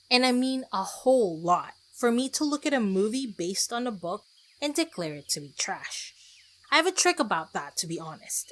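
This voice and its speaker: A woman's voice: mid-range voice, a bit bright. A woman podcast host narrating a casual scripted solo episode in a chatty, self-deprecating way with conversational asides.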